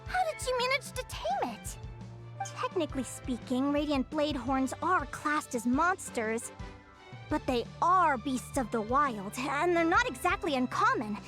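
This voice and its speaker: A woman's voice: high-pitched, very animated, unhurried, bright, forceful tone, heavily acted. A woman playing a spirited, eager young female competitor in a video game, speaking emphatically and encouragingly. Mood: startled. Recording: music behind the voice.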